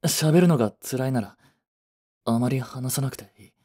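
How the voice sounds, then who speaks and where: A man playing a young office worker, a proud but regretful boyfriend, in a romantic voice drama with a soft, breathy delivery. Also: mid-range voice, normal speed, natural voice. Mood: shocked, anguished. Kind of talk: romantic voice drama acting with introspective narration.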